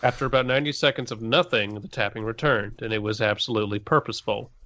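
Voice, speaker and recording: A man co-hosting a podcast, reading stories aloud and chatting casually in a measured, explanatory way. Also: lowish voice, a bit bright, dull audio. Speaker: podcast co-host.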